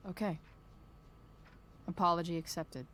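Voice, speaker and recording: A woman's voice: lowish voice, fairly even intonation, a little slow, a bit bright, slight background noise. A young woman playing a sullen, sarcastic teenage girl in a video game, with a flippant, dry delivery. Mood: dry sarcasm. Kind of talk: naturalistic video game voice acting.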